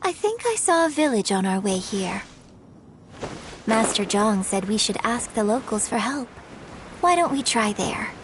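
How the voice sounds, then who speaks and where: A woman playing a refined, polite, thoughtful young lady in a video game, speaking in a measured, formal way. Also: a little high, sing-song, noisy background. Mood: courteous. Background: game music.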